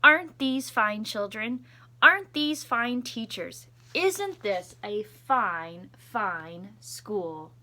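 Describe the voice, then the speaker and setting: A woman voicing a proud, over-enthusiastic male school principal in a storybook, with grand, drawn-out emphasis. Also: medium pitch, sing-song, bright, forceful tone, full character voice. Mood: admiring.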